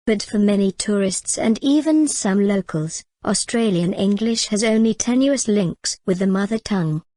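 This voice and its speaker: A woman giving a measured, formal read-aloud of scripted text as an exam practice model. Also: medium pitch, darkish tone, no room sound.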